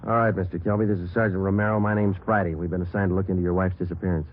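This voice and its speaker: A male actor plays a businesslike American police detective in an old radio drama. His voice is low and deadpan, rattling along briskly in clipped procedural sentences. The sound is narrow vintage radio with music. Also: slight background noise, natural voice. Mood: firm. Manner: clipped, deadpan, matter-of-fact. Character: male police sergeant, terse, unflappable, businesslike narrator. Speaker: actor playing lead police detective and narrator. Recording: band-limited old radio recording with music.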